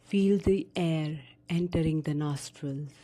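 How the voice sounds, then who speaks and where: Woman doing a sleep meditation, really slow and mellow, soft even tone, lots of pauses. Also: low voice. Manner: soothing, unhurried instructions with long pauses. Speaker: meditation guide. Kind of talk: guided sleep meditation narration.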